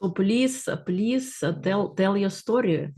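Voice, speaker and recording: A woman's voice: medium pitch, lively intonation, slow pace, warm tone, slightly rough. A woman hosting a podcast, reading a prepared guest introduction and then asking questions with hesitant repeats. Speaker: podcast host. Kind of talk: scripted guest introduction and interviewing.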